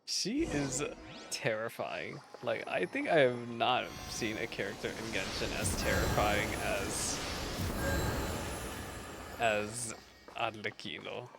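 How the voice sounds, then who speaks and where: Very deep-voiced, creaky woman gamer. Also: animated, takes it slowly. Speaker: gaming streamer. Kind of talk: casual playthrough reaction commentary. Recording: game music behind voice.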